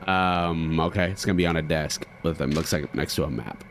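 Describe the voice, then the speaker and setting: A man's voice: a bass voice, fairly quick, noisy background. An American man doing casual, slangy game commentary, thinking aloud as he plays. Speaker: gaming streamer.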